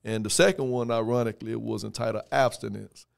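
A man in his thirties with a raspy voice, a rapper talking slowly with many fillers as a guest in a podcast studio. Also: mid-range voice, steady tone. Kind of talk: casual interview chat. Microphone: headphones and studio mic on boom.

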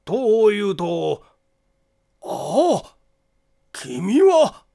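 A doubtful police inspector from a mystery audiobook, acted out by a man. His voice is high and creaky, swinging widely as he probes with startled questions. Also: huge pitch swings, drawn-out words, mellow, exaggerated voice. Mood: astonished, doubtful. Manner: probing, incredulous questioning. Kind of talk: Japanese mystery audiobook acting.

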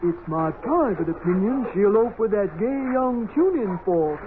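An actress playing a jaunty, gossipy lazy susan ferry captain with a folksy, wisecracking manner in 1940s radio style. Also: female voice, medium pitch, big pitch swings, normal speed, close to an everyday voice. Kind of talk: 1940s children's radio acting. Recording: scratchy, narrowband 1940s radio recording.

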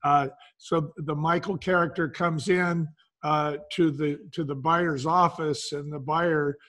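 An older man with a fairly high voice speaks as an interview guest. He tells stories in a conversational way and voices the quotes of the people in them. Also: fairly even intonation, slow, fairly bright tone. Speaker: podcast guest, brand co-founder.

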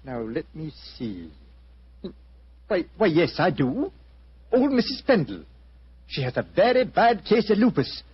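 An actor playing an obliging, fussy, hesitant doctor in a 1940s radio drama. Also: male voice, fairly high pitch, sing-song, a little slow, long pauses, slightly rough, heavily acted. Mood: helpful, musing. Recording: muffled old radio recording.

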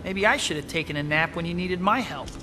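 An actor playing a young, earnest, wisecracking superhero who is on edge, in a video game cutscene. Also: male voice, sits high, fairly quick, background noise, natural voice. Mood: troubled.

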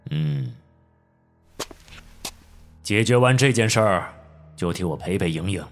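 Man acting a very deep-voiced gang boss. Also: lively intonation, measured pace, soft background music. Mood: businesslike. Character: commanding older gang boss and father, indulgent yet stern. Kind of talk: audio drama acting.

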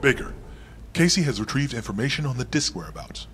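An actor voicing a serious heist strategist in a video game, measured and formal. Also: male voice, mid-range voice, lively intonation, a bit bright, slight background noise, natural voice. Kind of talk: cinematic video game acting.

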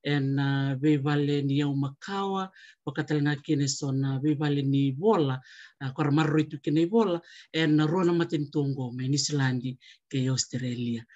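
A woman's voice: low voice, moderate pace, mellow. Woman, dull video-call sound. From Fiji. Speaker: guest panelist, academic. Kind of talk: formal self-introduction and greeting. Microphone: computer mic on video call.

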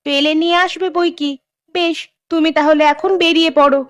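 Woman acting high-voiced cartoon village housewife. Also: steady tone, fairly quick. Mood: chatty. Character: chatty village housewife, caring and practical.

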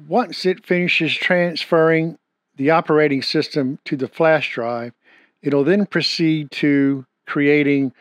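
A man's voice: fairly high pitch, dry recording, studio quality. A middle-aged man with a Southern US accent, a computer repair technician explaining a tech tutorial step by step, with a few fillers. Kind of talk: screen-recorded tech tutorial.

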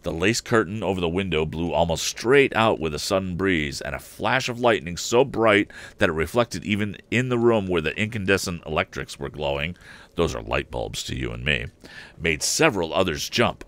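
A man's voice: low voice, lively intonation, moderate pace, fairly bright tone. An older man narrating a storybook aloud as a livestream storyteller, close to the mic.

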